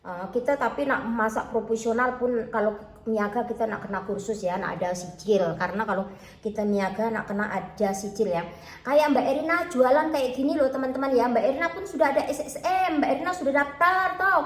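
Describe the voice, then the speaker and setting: A woman's voice: on the high side, fairly quick, echoey room. A woman from Indonesia with a Javanese accent mixed with Malay, chatting casually to her viewers from her kitchen and calling out to them again and again. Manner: chatty, keeps saying "teman-teman, ya", talks about herself in third person. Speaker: food-selling vlogger. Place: home kitchen.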